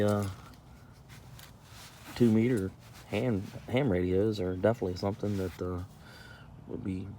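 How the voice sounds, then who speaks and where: A man giving a casual, plain-spoken product review as a camping vlogger, halting and repeating himself for emphasis. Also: lowish voice. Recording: steady background noise.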